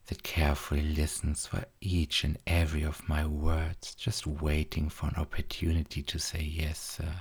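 A man guiding a hypnosis induction in a soft, repetitive way, often dropping to a whisper. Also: a bass voice, husky.

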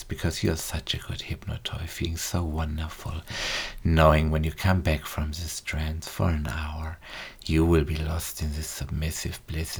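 Super deep monotone guy, husky, slow and repetitive, drops to whispers. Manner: slow, repetitive, soft-spoken, drifting into whispers. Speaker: hypnotist. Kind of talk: guided hypnosis recording.

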